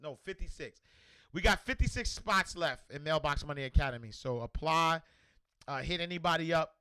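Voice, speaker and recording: A man with a New York accent hosting a livestream, loudly and energetically answering viewer comments in casual chat. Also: on the high side, normal speed, clear, resonant tone. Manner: loud and energetic, reads comments aloud. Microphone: desk microphone.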